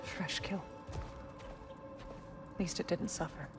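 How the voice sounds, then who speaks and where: A woman playing a wise, compassionate warrior wife in a video game, with measured, eloquent, formal phrasing. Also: fairly low pitch, music in the background. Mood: teasing, calm. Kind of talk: dramatic video game acting. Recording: loud background ambience noise.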